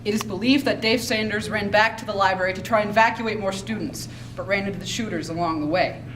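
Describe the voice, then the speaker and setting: A woman's voice: mid-range voice, quick pace. A woman reading scripted dramatic narration for a stage readers theater piece. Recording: noisy, far from mic.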